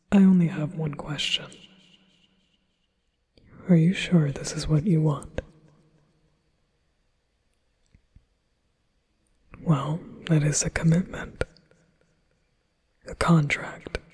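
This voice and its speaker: Flat, slow, very breathy whispered demon offering a binding contract, possessive and coaxing, voiced by a man. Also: on the high side, exaggerated voice. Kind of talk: ASMR hypnosis roleplay.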